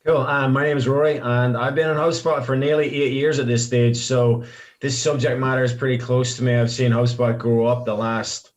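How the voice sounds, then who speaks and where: Flat-toned, relaxed man. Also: mid-range voice, normal speed. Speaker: long-time company employee. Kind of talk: casual interview talk.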